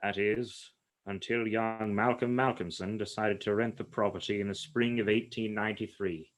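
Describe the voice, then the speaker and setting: A grave gothic storyteller played by a man narrates a radio play. His voice sits fairly low, and his phrasing is formal, solemn and measured. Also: steady tone, close to an everyday voice. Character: grave male storyteller of a gothic ghost tale.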